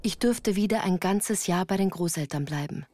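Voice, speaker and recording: A woman's voice: on the low side, normal speed, close to an everyday voice. An actress as a woman recounting her childhood in composed, literary first-person narration for a radio drama. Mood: grave, reflective. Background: music.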